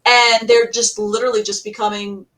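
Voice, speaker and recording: A woman panelist talking casually and enthusiastically about audio dramas in a rambling, animated way. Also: on the high side, normal speed. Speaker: podcast panelist. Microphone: distant computer mic on a virtual panel. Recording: distant microphone.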